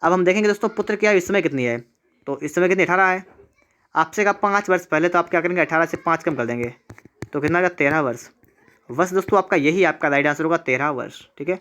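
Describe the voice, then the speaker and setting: A male math teacher with a slightly high-pitched voice. He walks through exam problems one step at a time. He often poses a short question, answers it at once, and says key results again. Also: fast talker, fairly bright tone. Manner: repeats himself, asks and answers his own questions.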